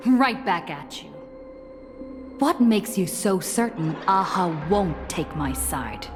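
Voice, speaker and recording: A woman playing a resolute, solemn young supplicant in a video game, earnest and deliberate, with reverb and faint ambience. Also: medium pitch, very animated, music in the background. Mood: defiant. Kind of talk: scripted video game voice acting.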